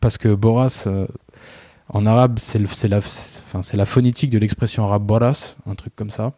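A man's voice: lowish voice, fairly even intonation, normal speed, warm tone, no room sound, clean studio sound. A man in his thirties, chatting casually and telling a self-mocking story. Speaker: guest. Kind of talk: casual podcast conversation.

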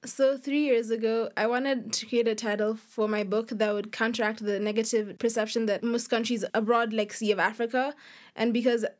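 A young woman with a fairly high voice speaks as a guest author in an interview. She is casual and youthful, dropping "like" often as she explains. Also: flat delivery, normal speed. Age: young adult. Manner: youthful, informal, frequent "like". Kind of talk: casual conversational interview answers.

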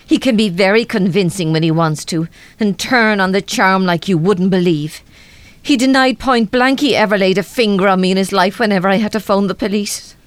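A woman's voice: medium pitch, fairly quick, no room sound, natural voice. An actress playing an anxious, worn-down, self-doubting mother in a radio drama. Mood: bitter. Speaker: radio play actor. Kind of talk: live radio drama acting.

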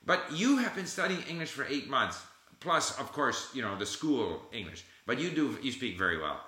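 A man's voice: medium pitch, sing-song, moderate pace, pushed and bright. A man hosting a casual conversation about language learning, encouraging and emphatic, repeating his key phrases. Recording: echoey room.

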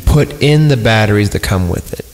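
A man's voice: on the low side, animated, normal speed. A man hosting a podcast, reading a horror story irreverently with sweary, goofy asides and mocking ad-libs. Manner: sweary, goofy, breaks off to ad-lib and mock the text. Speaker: podcast host. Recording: long reverb, loud music behind. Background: eerie film score music.

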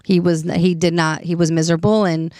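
A woman's voice: on the low side, level tone, a little fast, darkish tone, no echo, clean studio sound. A woman in her late twenties co-hosting a casual podcast chat, earnest and often stopping to find her words. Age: 20s. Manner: earnest, searching for words, many restarts. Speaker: podcast co-host.

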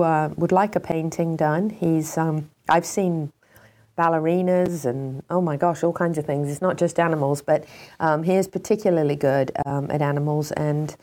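A woman with a British accent hosting a horse talk show, her voice a little froggy and congested, talking with many pauses and ums. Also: low-pitched, darkish tone. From Britain. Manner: hesitant, many ums, heartfelt. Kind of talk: casual talk show monologue.

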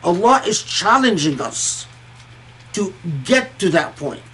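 A male religious scholar lectures informally on scripture in a notably high voice. He speaks slowly and thoughtfully, halting often and repeating words as he works through each point. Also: very animated, fairly bright tone, a little hiss or hum. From Egypt and Kuwait. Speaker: religious scholar giving Quranic commentary.

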